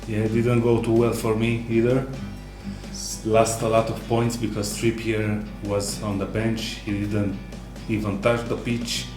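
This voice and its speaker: A man co-hosting a casual sports discussion, speaking haltingly with non-native English phrasing. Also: on the low side, little pitch movement. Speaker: co-host. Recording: roomy echo, music underneath.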